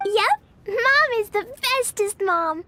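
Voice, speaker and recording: A woman's voice: very high-pitched, expressive, sharp tone, full character voice. A woman voicing a cheerful, playful little girl for a video game, with guileless, childish, gushing delivery. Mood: gleeful. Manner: childish simple phrasing, drawn-out, gushing. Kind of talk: animated video game voice acting. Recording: music behind the voice.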